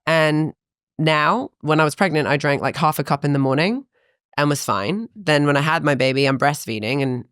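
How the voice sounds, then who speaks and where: A woman in her thirties, a fitness coach, chatting in a podcast interview, animated and quick with asides. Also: sits low, clean tone, dry recording, studio quality.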